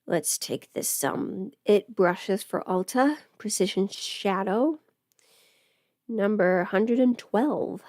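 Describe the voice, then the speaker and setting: A woman's voice: mid-range voice, slow, darkish tone, no echo, studio quality. A woman livestreaming in casual, rambling chat as she does her makeup, informal and mildly sweary. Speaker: livestream host.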